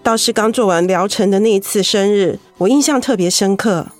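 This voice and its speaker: A woman playing a gentle young wife who keeps a brave face, in a radio drama. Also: mid-range voice, fairly quick, faint music behind, natural voice. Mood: grateful, calm.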